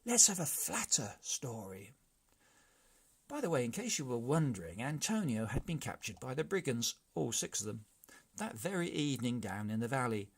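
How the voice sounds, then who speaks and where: A man narrating a children's storybook aloud in an expressive, theatrical read-along style. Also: mid-range voice, a little fast, gravelly, husky.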